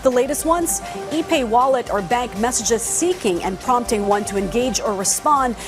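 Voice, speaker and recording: A woman's voice: on the high side, animated, moderate pace. A woman news anchor reading a scripted introduction to a report. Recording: music playing behind the voice.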